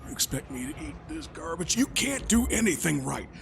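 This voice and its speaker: A man's voice: fairly high pitch, big pitch swings, fairly quick, gravelly, faint music behind. A man playing a cruel, bullying middle-aged country father in an audio drama, barking orders and folksy threats in a harsh voice. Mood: surly, demanding.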